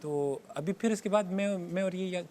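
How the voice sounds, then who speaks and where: A man's voice: sits high, normal speed, warm tone, slight background noise. A man from Quetta with a Pashto accent in his Urdu, chatting casually in a reality show house and mixing in English words. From Quetta, Pakistan. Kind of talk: casual chat.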